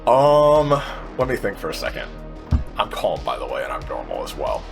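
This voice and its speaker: A man's voice: rumbling low, wildly swooping pitch, moderate pace, vocal fry, over music. A man chatting informally as a player in a tabletop game, swearing and repeating himself as he reasons aloud. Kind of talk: casual game-table chat.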